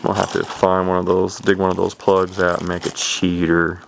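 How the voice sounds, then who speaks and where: A deep-voiced man in his thirties talks off the cuff as he shows something to a handheld camera. His voice has a gravelly fry, and he rambles in a folksy way, thinking aloud. There is faint noise in the homemade recording. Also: completely flat delivery, moderate pace. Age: 30s. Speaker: vintage CB radio collector showing an unboxing. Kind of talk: casual unscripted product commentary. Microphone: handheld camera's built-in microphone.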